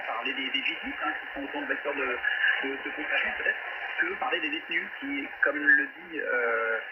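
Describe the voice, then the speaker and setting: Male radio interviewer through heavy shortwave static. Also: a little high. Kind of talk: live panel interview. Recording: noisy dull shortwave radio reception. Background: strong radio static.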